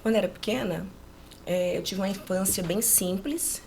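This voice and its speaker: A woman's voice: medium pitch, moderate pace, gravelly, darkish tone, a little hiss or hum. A woman in her late forties from rural Brazil, with a Brazilian accent, telling personal stories casually as an interview guest, often adding agreement-seeking tags. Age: late 40s.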